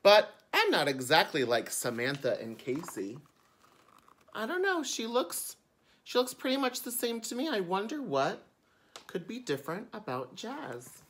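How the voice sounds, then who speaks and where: A man's voice: high-pitched, huge pitch swings, a little slow, a bit bright, slight background noise. A man, a drag queen, reading a picture book aloud expressively for children, with chatty asides. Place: indoors before a curtain.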